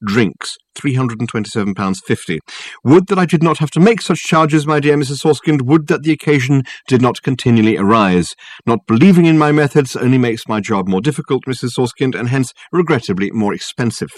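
Florid smooth-talking male detective, soothing and pompous, swooping pitch, as a narrator puts him on, dry sound. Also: animated, brisk, no room sound, natural voice. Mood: soothingly pompous. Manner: florid, soothing, mock-sorrowful. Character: smooth, grandiloquent male detective, unflappably evasive.

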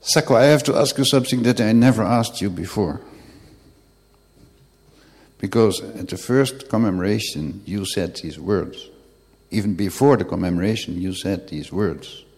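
A man hosting, presenting clips and interviewing guests in a measured way with pauses. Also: on the low side, darkish tone, husky, long reverb tail. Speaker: host.

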